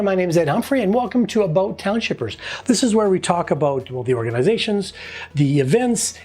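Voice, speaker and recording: A man with a fairly high voice hosts an interview podcast. He reads a scripted introduction and then poses questions in a measured, slightly hesitant way. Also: darkish tone, husky. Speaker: podcast host.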